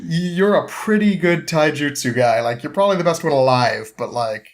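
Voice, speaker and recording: A man's voice: on the high side, expressive. Casual man giving a rambling, amused recap. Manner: halting, rambling, amused, often chuckling. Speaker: podcast guest.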